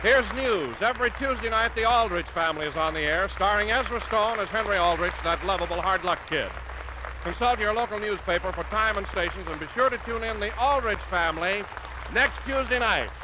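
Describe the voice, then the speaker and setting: A man's voice: high voice, fairly quick, noisy background. An American man announcing in a polished, emphatic 1940s radio commercial style. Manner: polished, emphatic sales delivery.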